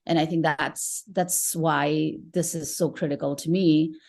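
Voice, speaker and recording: A woman's voice: sits low, fairly even intonation, measured pace, creaky, darkish tone. A woman in her forties from Nepal, speaking earnestly and thoughtfully as an interview guest, often restarting phrases. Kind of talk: conversational interview.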